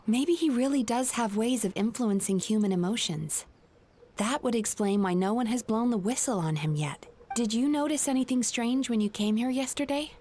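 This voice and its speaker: A woman's voice: a little high, moderate pace, dark tone, a little hiss or hum, natural voice. A woman playing an earnest, perceptive young woman counselor in a video game, speaking in composed, articulate sentences. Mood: calm, explanatory. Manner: composed, articulate, clinical explanatory phrasing. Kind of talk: scripted video game voice acting.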